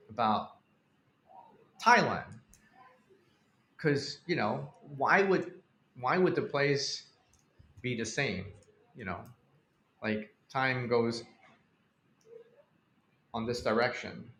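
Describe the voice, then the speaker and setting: A man's voice: medium pitch, a bit bright, roomy sound. A young man from Hong Kong chatting on a live stream and reading out viewer comments, rambling with many fillers and restarts.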